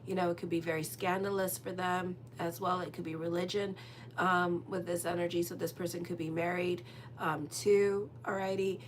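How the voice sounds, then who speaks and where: A woman's voice: lowish voice, level tone, moderate pace, slight background noise. A woman doing a casual tarot horoscope reading as an astrologer, rambling and chatty with lots of fillers and a direct manner. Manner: frank and direct with listeners.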